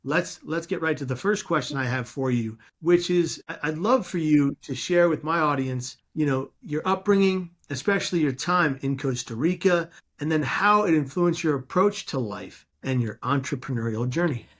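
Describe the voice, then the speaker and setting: An American man hosting an interview podcast, speaking in an effusive, emphatic way with deliberate phrasing. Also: on the high side, fairly bright tone.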